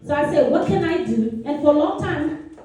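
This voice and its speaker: A woman's voice: high voice, expressive, normal speed, dark tone. Young woman from south sudan telling her story, earnest, lots of "you know", big echoey room, mic kinda far. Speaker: speaker. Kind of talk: personal story talk. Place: meeting room.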